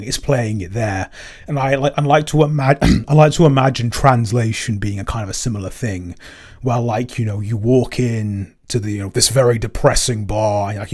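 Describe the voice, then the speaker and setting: A man with a fairly low voice speaks off the cuff in a casual podcast Q&A. He is halting, often doubling words and restarting phrases while he explains his creative work. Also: a little mellow. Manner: halting, stammering over repeated words. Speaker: podcast creator and composer answering listener questions.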